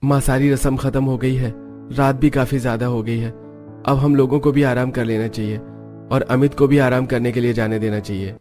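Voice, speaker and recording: A man's voice: mid-range voice, fairly even intonation, moderate pace, darkish tone, faint music behind, lightly acted. A man voicing a polite, sensible grown son in a cartoon family story. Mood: calm, reasonable.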